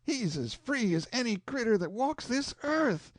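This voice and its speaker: A man voicing an excitable, boastful young country boy who rattles off his exploits eagerly. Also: sits high, moderate pace, no room sound, voice pushed up. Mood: urgent.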